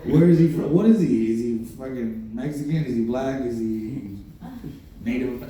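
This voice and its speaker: A man with a Puerto Rican accent and Spanish as his first language tells a rambling, off-the-cuff story at his first stand-up set. His voice is dark and mellow. It comes from far off the mic in a very echoey room. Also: medium pitch, moderate pace. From Puerto Rico. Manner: rambling, self-deprecating, improvised storytelling. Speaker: stand-up comedian. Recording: distant, roomy live recording.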